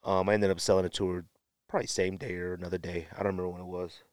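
Low-voiced American man telling stories. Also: rapid-fire, no room sound, clean studio sound. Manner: animated storyteller acting out quotes, slangy. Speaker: podcast host. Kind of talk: casual storytelling podcast talk.

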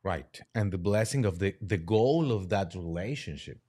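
A man speaking as a priest and podcast guest, giving careful, somewhat halting explanations in conversation. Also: low-pitched, expressive, warm tone. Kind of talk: conversational interview answers.

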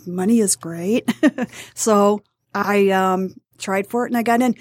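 A woman's voice: mid-range voice, steady tone, slow pace, dry recording, studio quality. A middle-aged woman from Michigan, a grandmother, talking as a podcast guest in a chatty way that often breaks into laughs. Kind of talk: casual interview chat.

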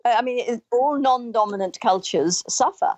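A woman's voice: a little high, moderate pace, no room sound. An older woman, answering in an interview in an earnest, emphatic way and repeating phrases to drive points home. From Australia. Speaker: trauma recovery expert, interview guest.

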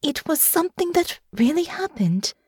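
Woman narrator doing a caring young woman, high soft voice, gentle and earnest, audiobook, really dry sound. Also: big pitch swings, moderate pace, no room sound. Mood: gently curious. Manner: soft, soothing, urgent. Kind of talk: audiobook character acting.

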